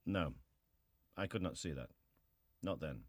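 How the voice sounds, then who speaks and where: An actor playing a sea captain who gives restrained, matter-of-fact testimony in a dramatized historical hearing. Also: male voice, sits low, expressive, measured pace, no echo, studio quality. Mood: terse, restrained.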